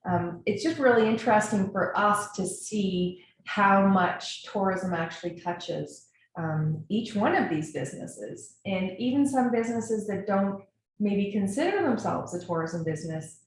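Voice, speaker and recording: A woman from Nova Scotia with a Canadian accent gives an informal webinar presentation in an earnest, enthusiastic way, pausing often as she goes. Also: mid-range voice. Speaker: webinar presenter, brand strategist. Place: indoor echoey room, online webinar. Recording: very echoey room, faint noise.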